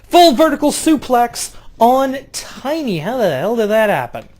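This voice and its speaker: A man doing casual play-by-play of a video game wrestling match, jumping between loud outbursts and low, offhand, swearing asides. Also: very animated, unhurried. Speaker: play-by-play commentator.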